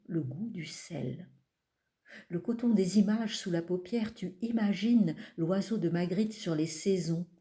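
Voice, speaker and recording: A woman poet reading her own poems aloud in a calm, measured way, pausing between phrases. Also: on the low side. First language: not French. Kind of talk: slow poetry reading.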